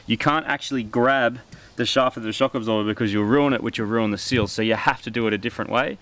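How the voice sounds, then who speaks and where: A man's voice: mid-range voice, fairly even intonation, fast, fairly bright tone. An Australian man explaining car work to camera in a casual, chatty, matey way. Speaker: host of a car modification show.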